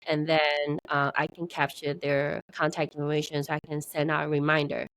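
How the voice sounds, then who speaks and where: Soft-voiced woman, halting and lowish. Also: completely flat delivery. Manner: halting, with many fillers and restarts. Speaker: interview guest, meetup organizer. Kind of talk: casual interview conversation.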